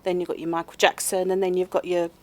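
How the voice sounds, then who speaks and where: A woman's voice: on the low side, flat delivery, quick pace, darkish tone. A woman answering interview questions in a chatty, frank way.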